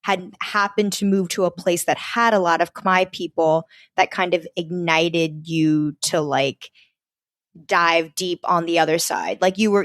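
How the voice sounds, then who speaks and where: A woman's voice: lowish voice, no echo, studio quality. A woman hosting a podcast interview, thoughtfully rephrasing her questions as she asks them. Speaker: podcast host.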